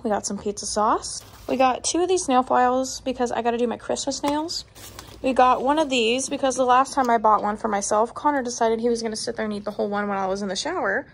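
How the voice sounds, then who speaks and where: High, creaky-voiced young American woman vlogging. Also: fast talker. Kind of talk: casual vlog chat. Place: indoors at home, living room and kitchen. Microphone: phone or camera at arm's length. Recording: noticeable room noise from handheld camera.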